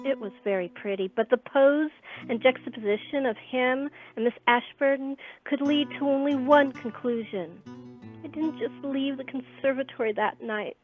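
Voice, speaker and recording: A woman's voice: sits high, lightly acted. A woman playing a proud, wounded, genteel young lady in a radio play, with formal and precise wording. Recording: narrow phone-like sound, faint guitar music.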